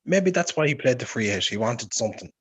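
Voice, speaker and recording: A man chatting casually, animated and saying "like" often. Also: mid-range voice, a little fast, husky. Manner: animated, chatty, peppers speech with "like". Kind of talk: casual chat.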